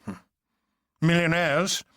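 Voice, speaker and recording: A man voicing an earnest, incredulous gentleman detective in an audiobook, animated and articulate. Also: on the high side, takes it slowly, no echo, clean studio sound, voice pushed up. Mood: thoughtful disbelief. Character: Syme, earnest incredulous English gentleman detective, exaggeratedly cheerful.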